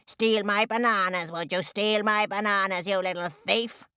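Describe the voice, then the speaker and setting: A woman voicing an angry, bossy male crow in a children's story, rasping and scolding with menace. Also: mid-range voice, fairly even intonation, normal speed, bright, forceful tone, no room sound, clean studio sound, natural voice. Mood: indignant, accusing. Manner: cawing, scolding, threatening.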